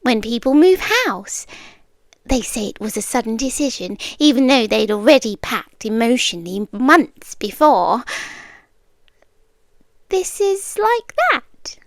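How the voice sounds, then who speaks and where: A woman acts out an obsessive young British girlfriend in an ASMR roleplay, her voice high and softly breathy. She lingers over her words and swings up and down in pitch, doting and coy with a calm menace underneath, very close to the mic. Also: unhurried, dry recording. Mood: calm, insistent. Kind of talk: ASMR roleplay, intimate soft-spoken acting. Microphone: close microphone, dry.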